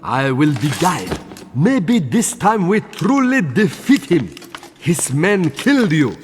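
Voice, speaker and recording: An actor voicing a boastful, indignant genie in a video game, speaking theatrical broken English. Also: male voice, fairly high pitch, big pitch swings, slow pace, big-room sound, a little hiss or hum. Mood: cajoling, rousing. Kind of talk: animated game character dialogue.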